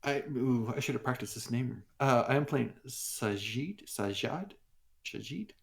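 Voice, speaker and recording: A man chats casually as a tabletop game player. His voice has a lot of fry in it, sits at a medium pitch and moves at a slow pace. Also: lively intonation. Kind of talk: casual chat.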